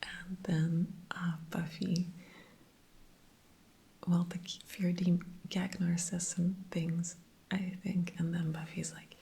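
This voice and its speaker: A woman speaks entirely in a soft, unvoiced whisper, chatty and full of little fillers. She takes her time, unhurried and lingering. Her whisper has a dark, gravelly grain. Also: fairly low pitch, level tone, poor audio. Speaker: TV episode reviewer. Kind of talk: whispered ASMR episode recap.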